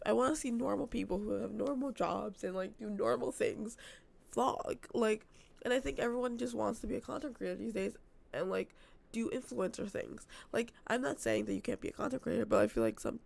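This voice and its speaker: A young woman vlogging from her apartment, losing her voice and hoarse, rambling casually with lots of fillers. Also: on the high side. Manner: rambling, filler-heavy, self-described yappy. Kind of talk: casual unscripted vlog chat.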